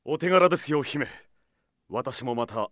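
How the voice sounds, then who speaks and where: An actor playing a loyal, steadfast warrior, speaking earnestly, formally and with composure in an audio drama. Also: male voice, mid-range voice, animated, unhurried, background noise, lightly acted. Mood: composed, earnest.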